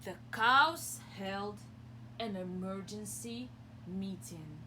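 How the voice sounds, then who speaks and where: A woman reading a picture book aloud to young children as a preschool teacher, expressively, pausing to explain simply and ask questions. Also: medium pitch, a little hiss or hum, low-quality recording. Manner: expressive, asks questions and pauses for answers.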